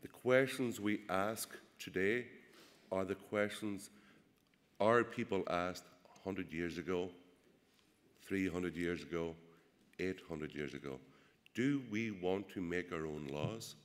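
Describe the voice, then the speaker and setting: A man with a Derry accent gives a rousing political speech to a crowd, asking rhetorical questions and pausing often on "uh". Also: deep voice. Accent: Derry, Northern Irish. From Northern Ireland. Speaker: political speaker. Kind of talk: public speech at a rally. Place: large event hall. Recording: echoey hall with long reverb.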